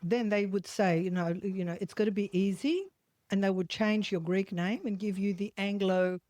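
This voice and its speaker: A middle-aged Australian woman hosting a podcast, telling family stories in a chatty, animated way and acting out quoted voices. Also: mid-range voice, moderate pace, no echo. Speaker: podcast host. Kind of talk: casual storytelling chat.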